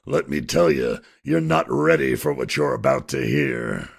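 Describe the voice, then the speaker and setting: A man acting a gravelly, gruff crook. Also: very deep voice, huge pitch swings, measured pace, full character voice. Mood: wry storytelling. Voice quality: gravelly, husky. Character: gruff, sceptical male crook recounting events. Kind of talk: audio drama narration.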